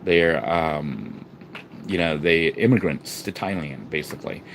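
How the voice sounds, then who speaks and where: A man from the United States talking casually to his vlog viewers, rambling with restarts and fillers and swinging between low murmurs and sudden emphatic bursts. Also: expressive, unhurried, gravelly, fairly bright tone, slight background noise, rough recording. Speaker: vlogger. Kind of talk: casual vlog talk to camera.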